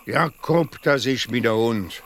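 A man's voice: medium pitch, takes it slowly, fairly bright tone, a little hiss or hum. A man playing a down-to-earth young boy detective in a children's radio play, casual, with a Swiss German accent. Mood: firm.

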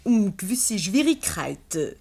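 A woman's voice: mid-range voice, very animated, unhurried, creaky, darkish tone, husky, full character voice. A woman playing a meddling switchboard operator in a Swiss German radio comedy, speaking in a pointed, officious, roundabout way. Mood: ominous, hinting. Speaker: radio play actor.

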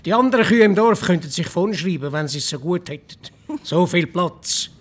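An actor playing a blunt, pragmatic village official in a radio play, matter-of-fact and fair, with a Swiss German accent. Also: male voice, high voice, expressive, brisk. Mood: dismissive, protective. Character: plain-spoken, pragmatic male village official, exasperated but fair.

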